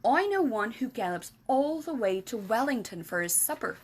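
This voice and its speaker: A woman's voice: fairly high pitch, sing-song, moderate pace, husky, pitched above the performer's own voice. A woman voicing a big friendly male giant for a children's storybook, exuberant and kindly, with mangled grammar and emphatic nonsense words. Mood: muddled, blustery. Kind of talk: animated children's storybook reading.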